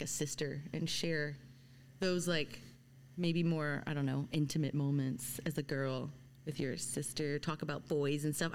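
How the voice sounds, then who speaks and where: Woman, mild Southern accent, fairly low pitch, laid-back casual chat, lots of "like". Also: a little hiss or hum. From Louisiana, US. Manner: laid-back and self-deprecating, with filler likes. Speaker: podcast guest.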